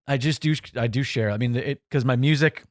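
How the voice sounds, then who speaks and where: A man's voice: medium pitch, brisk, no room sound, clean studio sound. A man in his forties, possibly, co-hosting a podcast in casual chat, rambling and self-correcting with a joking tone. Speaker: podcast co-host.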